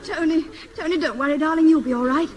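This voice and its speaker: A woman's voice: high voice, quick pace, close to an everyday voice. A woman playing a doting, encouraging sweetheart of a boxer in a 1950s radio sitcom. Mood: tender. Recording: noisy, reverberant old radio recording.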